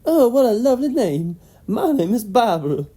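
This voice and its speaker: Reader doing an old farm lady, motherly and fussy, really soft dark tone, a bit high, storybook. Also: very animated, moderate pace, breathy edge. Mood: kindly. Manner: fussing, hospitable. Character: motherly old farm wife, gently scolding.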